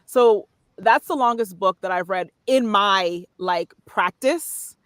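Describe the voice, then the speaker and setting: A woman's voice: mid-range voice, takes it slowly, a bit bright, clear, resonant tone, no echo. A woman speaking casually as an interview guest, explaining things in a conversational way. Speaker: podcast guest, voice-over artist and narrator.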